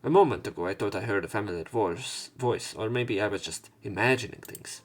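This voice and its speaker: A man's voice: lowish voice, brisk. A man hosting a let's play, reading a game's narration aloud and commenting as he goes. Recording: game music behind the voice. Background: video game music.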